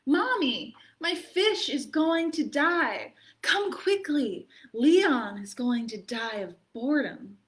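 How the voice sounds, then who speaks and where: A woman's voice: high voice, expressive, slow, hardly any pauses, voice pushed up. A woman voicing a worried young boy in a picture book, urgent and bewildered. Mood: urgent pleading. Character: worried young boy with a dying pet fish. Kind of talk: picture book read aloud.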